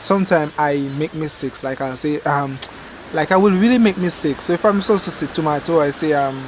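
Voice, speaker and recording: A man's voice: fairly high pitch, slight background noise, poor audio. Chatty man gardening outdoors. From Dominica. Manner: relaxed and chatty, self-correcting, laughs at his slips. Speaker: gardening channel host. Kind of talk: casual rambling chat to camera. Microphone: camcorder microphone.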